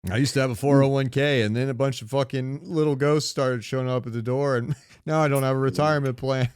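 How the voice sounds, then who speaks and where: A man's voice: mid-range voice, steady tone, quick pace, clear, resonant tone, dry recording, studio quality. A man co-hosting a podcast, joking and telling stories in casual, sweary slang. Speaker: podcast co-host. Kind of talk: casual chat, comic storytelling.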